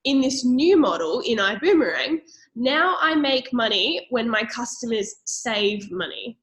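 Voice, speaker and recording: Young Australian woman, slightly high voice, chatty persuasive presenter pitching to a call audience. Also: lively intonation, unhurried, a bit bright. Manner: upbeat, persuasive, with frequent fillers and little laughs. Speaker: network marketing presenter.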